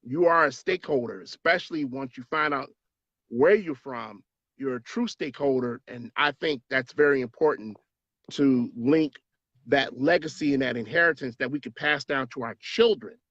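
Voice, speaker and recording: A man's voice: medium pitch, moderate pace, a bit bright, no room sound, dull audio. A man answering interview questions as a business association president, earnest and persuasive, repeating words as he stresses a point.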